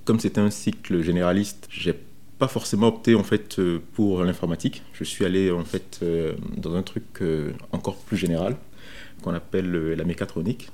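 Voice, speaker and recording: Low-voiced, mellow man from Benin. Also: steady tone. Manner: hesitant, halting delivery with frequent repetitions. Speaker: software developer interviewed about his career. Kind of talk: informal first-person career account.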